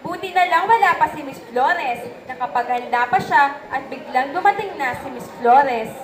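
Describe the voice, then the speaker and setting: Casting: young woman storyteller, Filipino accent, high register, scripted read in a reverberant room. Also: expressive, normal speed, a crowd in the background. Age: young adult. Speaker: narrator. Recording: echoey room, faint background noise.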